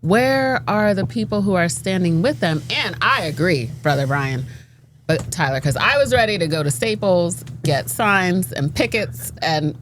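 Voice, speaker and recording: A woman's voice: lowish voice, animated, measured pace, slight background noise. A middle-aged woman talking animatedly in a casual group discussion, emphatic and laughing between points. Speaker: panelist.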